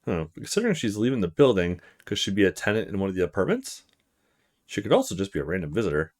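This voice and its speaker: A man's voice: sits low, huge pitch swings, fast talker, exaggerated voice. A man voicing a polite young male student in a visual novel, thinking to himself in casual short fragments. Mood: musing. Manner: casual inner monologue with short musing fragments.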